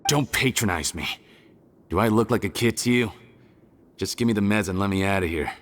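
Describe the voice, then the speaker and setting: Man voicing rude convict, low voice, curt, surly. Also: a little fast, fairly bright tone, natural voice.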